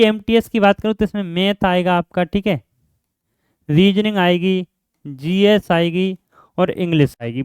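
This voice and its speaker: Man, smooth clear tone, very dry sound, informal teaching, repeats key points, asks and answers own questions. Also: sits high, no echo. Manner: repetitive, asks questions and answers them himself, frequent check-in tags. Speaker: exam coaching teacher and channel host. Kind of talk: informal explainer lesson for job aspirants.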